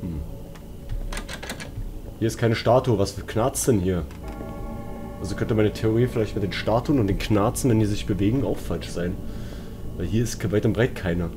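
A man's voice: on the low side, moderate pace, long pauses, noisy background. A man giving casual, colloquial, joking commentary as he plays a horror game, talking to himself and his viewers. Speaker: let's player.

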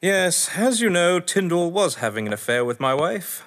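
A man's voice: high voice, sing-song, moderate pace, fairly bright tone. A man acting in an audio drama as a witty, haughty husband under questioning, verbose, sardonic and theatrical. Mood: bitter, sardonic. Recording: echoey hall-like reverb.

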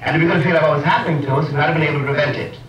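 A man telling a reflective story in a measured, ironic way, heard from an old film clip. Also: a little high, echoey room, distant mic, dull audio, crowd noise. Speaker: speaker in an archival film clip. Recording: old film clip played in a room from afar.

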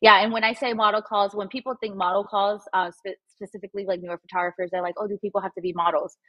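A Spanish-speaking woman in her thirties from Puerto Rico, giving advice in a lively video-call interview through earbuds. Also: mid-range voice, fast, no echo, dull audio. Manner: animated, acts out quoted voices. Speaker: guest photographer and coach. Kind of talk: casual livestream interview. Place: room at home. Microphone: wireless earbuds, video call.

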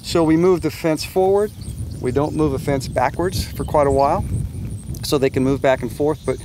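A man's voice: a little high, normal speed. An older man, a cattle farmer, describing his routine in a plain-spoken, practical way out in a pasture. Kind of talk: casual explanation. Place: open pasture outdoors. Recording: outdoor field with faint noise.

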